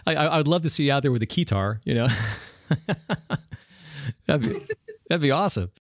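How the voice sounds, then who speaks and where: A man's voice: fairly high pitch, lively intonation, normal speed, no room sound. Friendly male host, dry close sound. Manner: friendly, stammering, laughs along. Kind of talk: casual interview talk.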